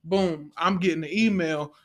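A man speaking in a heartfelt, conversational way as a panel guest, earnest and reflective, often pausing to restate his phrases. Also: on the high side. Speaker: stage play actor, panel guest.

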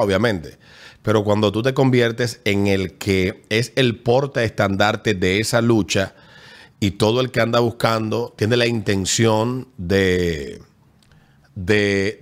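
A man, co-hosting a podcast in casual political chat, measured and argumentative. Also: lowish voice. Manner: measured, argumentative, recounts conversations. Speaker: podcast co-host.

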